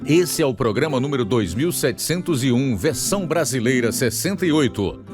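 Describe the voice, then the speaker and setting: A man's voice: mid-range voice, expressive, brisk. A man with a Brazilian accent hosting and announcing a radio program with scripted narration. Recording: guitar music under the voice.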